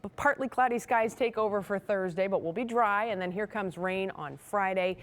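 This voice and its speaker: A woman's voice: mid-range voice, moderate pace. An American woman giving a conversational TV weather forecast in a chatty, folksy manner.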